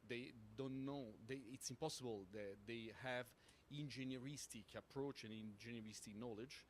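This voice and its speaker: A man with an Italian accent speaks as a panelist at a conference, his English halting as he hunts for words and leans on fillers. His voice sits at an ordinary pitch, with a faint hiss under the room. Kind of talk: panel discussion answer.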